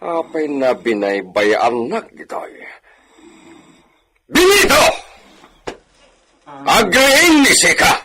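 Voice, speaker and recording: A man plays an imperious master groggy from drink in an audio drama. He speaks slowly, then his pitch leaps widely. Clear noise with clicks and roosters sits behind him. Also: very animated, pushed and bright, exaggerated voice. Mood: impatient. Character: bossy master of the house, groggy after drinking. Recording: noisy track with clicks and roosters.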